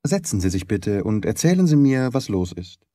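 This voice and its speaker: Audiobook detective, played by a male reader: cool, wry, unhurried by emotion, very dry sound. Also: lowish voice, big pitch swings, dark tone, dry recording. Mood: calm, matter-of-fact.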